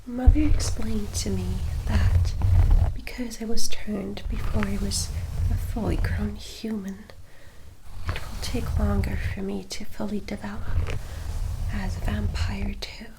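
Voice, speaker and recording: A woman playing a sweet, dutiful young vampire sister in a whispered ASMR roleplay, speaking softly, tenderly and a little hesitantly. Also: mid-range voice, steady tone, gravelly, noisy background. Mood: wistful, gentle. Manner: soft, hesitant, tender, unhurried.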